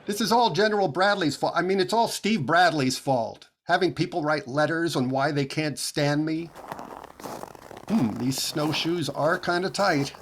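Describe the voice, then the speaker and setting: A man playing a vain, put-upon radio comedian boss with fussy, exasperated delivery, in 1940s radio comedy style. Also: a little high. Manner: fussy, exasperated, drawn-out retorts.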